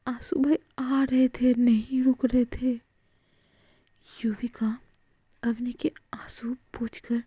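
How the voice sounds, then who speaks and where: Brief: female storyteller, dark whispered voice, very dry close sound. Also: on the high side, breathy edge, no echo. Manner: soft whisper, slow, with frequent fillers. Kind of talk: whispered Hinglish story narration.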